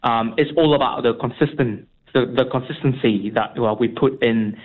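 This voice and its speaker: Young man on a tinny phone line. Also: medium pitch. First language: Vietnamese. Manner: halting, many fillers and restarts. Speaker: guest. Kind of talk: radio interview.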